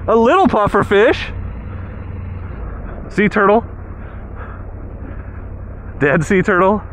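A man's voice: high-pitched, takes it slowly. A man talking through his motorcycle ride outdoors, exclaiming and laughing as he goes, on a helmet mic. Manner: excited, exclaiming, laughing often. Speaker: motorcycle vlogger. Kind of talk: riding commentary vlog. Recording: noisy outdoor riding audio.

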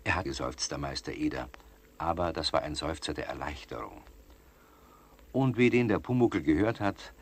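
A genial storyteller narrating a children's radio play. His voice is very low, with lively rises and falls. The delivery is wry and expressive. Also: heavily acted. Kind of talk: scripted narration.

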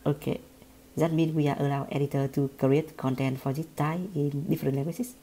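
A woman speaking English with a Vietnamese accent guides a screen-recorded software lesson. Her voice sits low and sounds soft and mellow. She talks in a halting way, often repeating words and restarting her sentences. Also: level tone, slight background noise. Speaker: software tutorial presenter.

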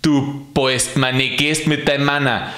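A woman voicing a cranky, loud Munich porter who has become an angel, shouting and cursing in Bavarian dialect. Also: deep voice, wildly swooping pitch, a little fast, reverberant, pitched above the performer's own voice, heavily acted. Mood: angry, shouting.

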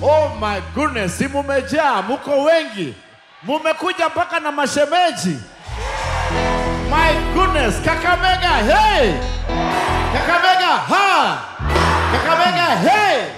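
High, shouting Kenyan comedy host. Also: male voice, sing-song, measured pace. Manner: shouting call-and-response to the crowd. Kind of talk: live stand-up hosting. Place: large outdoor concert stage at night. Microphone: handheld mic. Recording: echoey live stage with loud music.

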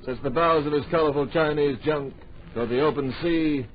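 An actor as the earnest, dramatic narrator of a radio drama, delivering scripted adventure storytelling in a grand, deliberate manner. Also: male voice, fairly high pitch, lightly acted. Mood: measured, suspenseful. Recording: dull old radio recording.

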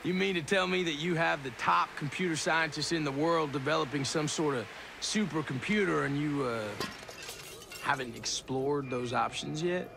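A man playing a tough, confident American special forces soldier in a video game, talking casually with measured military pauses. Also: on the high side, vocal fry, noisy background. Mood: cool, persuasive. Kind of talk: video game cutscene acting.

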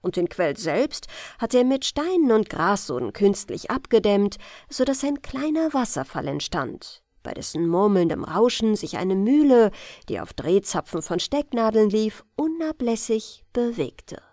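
A woman with a German accent reading a fairy tale aloud in a calm, gentle bedtime style, in a lilting storyteller manner. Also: medium pitch, measured pace, no room sound, clean studio sound. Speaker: audiobook reader.

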